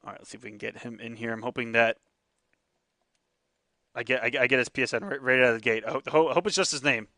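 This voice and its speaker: A man hosting and commentating a fighting-game tournament live, excitable, laughing often and tripping over repeated words. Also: mid-range voice, fast talker, long pauses, no room sound, studio quality.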